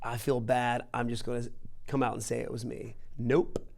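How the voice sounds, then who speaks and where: A man hosting a podcast, telling stories in casual chat with an animated manner and repeating himself for emphasis. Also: medium pitch, moderate pace, creaky. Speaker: podcast host.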